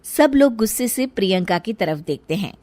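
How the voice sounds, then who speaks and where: A woman's voice: mid-range voice, expressive, normal speed, darkish tone, no echo. A woman reading scripted narration for a story, as the narrator.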